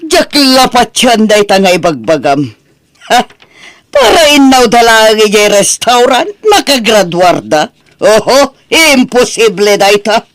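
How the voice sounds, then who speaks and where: A man's voice: high voice, sing-song, slow, fairly bright tone, dry recording, exaggerated voice. A man playing a gossipy, scornful rural relative in an audio family drama, chatty and mocking. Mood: mocking, dismissive. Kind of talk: Ilocano radio-style drama acting.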